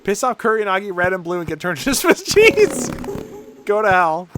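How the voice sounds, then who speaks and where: A man's voice: high voice, very animated, normal speed, noisy background. A man streaming a video game, reading its text aloud and reacting live in an irreverent, sweary, amused way.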